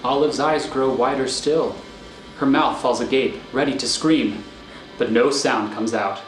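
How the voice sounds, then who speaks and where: A man's voice: mid-range voice, a little slow, soft background music. Male thriller narrator in echoey room. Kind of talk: scripted narration of screenplay directions. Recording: echoey room, clear background noise.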